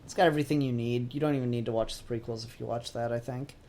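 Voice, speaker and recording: A man's voice: mid-range voice, fairly even intonation, fast, darkish tone, a little hiss or hum. Opinionated man chatting on a podcast. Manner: opinionated, emphatic, swearing freely. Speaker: podcast host. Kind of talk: casual pop-culture podcast discussion.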